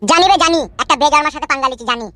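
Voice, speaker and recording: A voice performer playing an angry young man in a cartoon, who shouts threats and insults at his enemies. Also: high-pitched, rapid-fire, dry recording, pitched below the performer's own voice. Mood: defiant, threatening. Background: background music.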